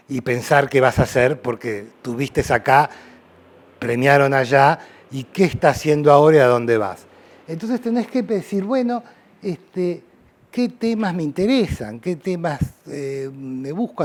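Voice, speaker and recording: Middle-aged Rioplatense man, artist interviewee, musing and rambling, mid pitch. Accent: Rioplatense Spanish. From Uruguay. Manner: thoughtful, rambling, frequent fillers. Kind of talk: reflective personal interview.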